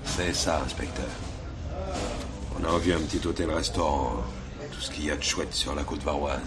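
An actor playing a sly, slangy petty crook who wheedles and bargains as a police informant in a French radio drama. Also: male voice, extremely low pitch, normal speed, crowd noise. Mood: wheedling.

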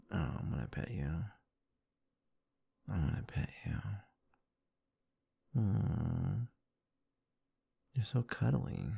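Guy doing asmr roleplay, really low gravelly voice, slow and soothing, breathy, right up on the mic. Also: no room sound, clean studio sound. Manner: soft, affectionate, soothing, doting, murmuring. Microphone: close-up microphone, dry.

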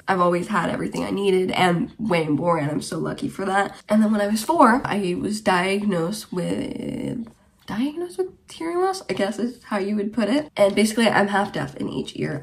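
A woman around twenty from Germany, hosting her podcast in casual, rambling chat to camera in a small room. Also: medium pitch. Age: about 20. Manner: rambling, chatty, tangent-prone. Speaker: podcast host. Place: small bedroom, indoors.

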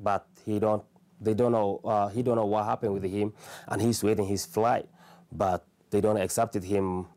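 A man in his thirties with a Somali accent, telling his own story haltingly and earnestly in an interview indoors on a lapel mic. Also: fairly low pitch, gravelly, darkish tone. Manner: halting, plain and earnest, with many restarts. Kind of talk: personal testimony. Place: small living room, indoors.

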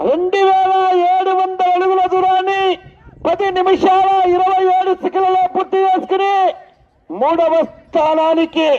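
An extremely high-pitched man with an Andhra Telugu accent calls a live rural contest. He repeats his announcements and punctuates them with exclamatory cries. The sound is dull and lo-fi. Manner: repetitive announcing broken by loud exclamatory cries. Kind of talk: live contest commentary over a public address.

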